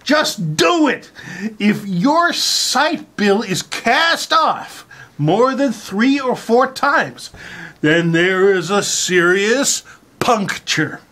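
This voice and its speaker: A person giving a mock-dramatic reading with theatrical, exaggerated emphasis and dramatic pauses. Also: mid-range voice, big pitch swings, a bit bright.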